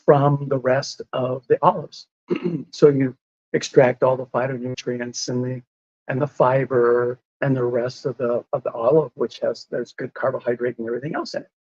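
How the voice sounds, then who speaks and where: A man's voice: mid-range voice, flat delivery, moderate pace, a little mellow, no room sound, lo-fi sound. A man in a casual podcast conversation, explaining whole foods in a patient way and laughing often. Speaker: lifestyle medicine advocate.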